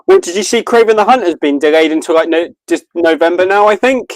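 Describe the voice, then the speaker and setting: A man's voice: sits high, lively intonation, fast talker, no room sound. A man chatting casually as a podcast co-host, swearing freely with crude, self-deprecating humour. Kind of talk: casual chat, watch party banter.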